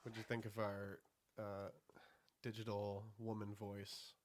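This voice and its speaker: A man's voice: lowish voice, monotone, slow pace. An American man co-hosting a podcast, chatting casually and chipping in with short remarks. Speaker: podcast co-host. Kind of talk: casual chat with short interjections.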